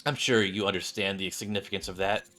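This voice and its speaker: A man's voice: sits low, fairly even intonation, fast talker, pushed and bright. A man streaming a video game, reading its story dialogue aloud and now and then stumbling and rereading. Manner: stumbles over words and rereads. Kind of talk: gaming playthrough, dramatic read-aloud of story text. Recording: game music behind. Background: video game music.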